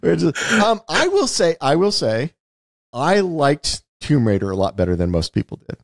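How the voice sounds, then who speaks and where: A man's voice: on the high side, huge pitch swings, normal speed, creaky, dry recording, clean studio sound. A man co-hosting a casual film discussion, talking in an opinionated, emphatic way. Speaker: podcast co-host.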